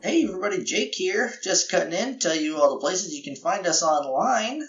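A man's voice: high-pitched, expressive, moderate pace, fairly bright tone, roomy sound, lo-fi sound, soft background music. A man hosting a podcast, giving casual, rambling announcements in a slightly scratchy voice, often correcting himself and chuckling at his own remarks. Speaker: podcast host.

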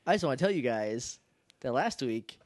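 A man co-hosting a casual podcast, chatty and quick to laugh, with a slightly nasal voice. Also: mid-range voice, no echo, studio quality. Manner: chatty, laughs often, animated. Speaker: podcast co-host. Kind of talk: casual chat.

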